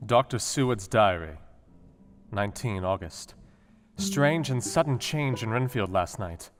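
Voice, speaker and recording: A Victorian asylum doctor dictates his diary in a radio play. The voice is fairly low, formal and reflective, analytical with a melancholy streak, over clearly heard music. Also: animated, a little slow. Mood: clinical.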